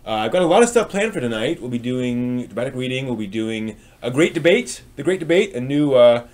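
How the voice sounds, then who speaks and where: A man's voice: medium pitch, big pitch swings, a little fast, darkish tone. A man hosting a live stream, chatting casually and ramblingly as he responds to viewers. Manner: rambling, reacting to chat as it comes.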